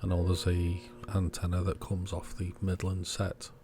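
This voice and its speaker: A man's voice: low voice, completely flat delivery, moderate pace. A man giving a casual, step-by-step voice-over for a repair video in an understated, matter-of-fact way. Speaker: host of an electronics repair channel. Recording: music behind the voice. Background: background music.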